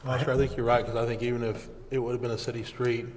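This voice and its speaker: A man's voice: medium pitch, little pitch movement, quick pace. An American man chairing a formal public meeting and running its procedure. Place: large indoor council chamber. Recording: reverberant hall, faint background noise.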